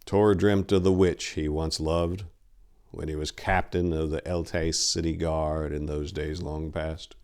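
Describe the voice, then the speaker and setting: A man narrating in the style of a dramatic story reading, with a theatrical, measured delivery. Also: rumbling low, little pitch movement, a little mellow.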